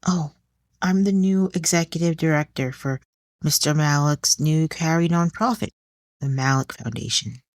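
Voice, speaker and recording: A woman voicing a petite younger socialite who is sweetly flattering and slyly needling, in a polite audio drama performance. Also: deep voice, normal speed, no room sound, clean studio sound, natural voice. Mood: breezy.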